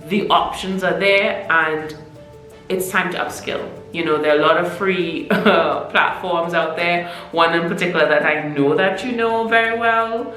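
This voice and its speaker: A woman's voice: lowish voice, a little slow, fairly bright tone, reverberant, soft background music, rough recording. A young woman with a Caribbean accent that mixes Trinidadian and Barbadian, talking to camera in a chatty, candid vlog. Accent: Caribbean mix of Trinidadian and Barbadian. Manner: chatty, candid, self-deprecating. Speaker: vlogger. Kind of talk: casual talking-head vlog. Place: room in Mexico City.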